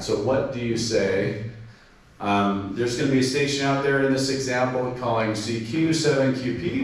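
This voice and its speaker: A man giving an informal club presentation, explaining steps and spelling call signs in radio phonetics, with frequent fillers. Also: on the low side. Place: club meeting room. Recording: very echoey room, distant mic, faint noise.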